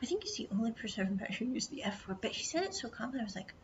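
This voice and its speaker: A woman from the United States telling a rambling travel story, mostly in a hushed whisper, chatty and full of "I was like". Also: on the high side, sing-song, racing. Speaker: vlogger. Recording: dull lo-fi audio with clear background noise.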